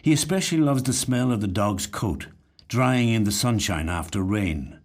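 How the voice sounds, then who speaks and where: A man reading a literary short story in a measured, reflective way. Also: fairly low pitch, expressive. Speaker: actor reading short stories. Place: recording studio.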